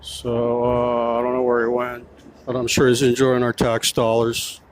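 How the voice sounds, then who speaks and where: A man's voice: mid-range voice, monotone, measured pace, a little hiss or hum. A man filming himself as a street reporter outdoors, talking in a pushy way and repeating his questions. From United States. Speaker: self-styled street reporter. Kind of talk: confrontational vlog narration.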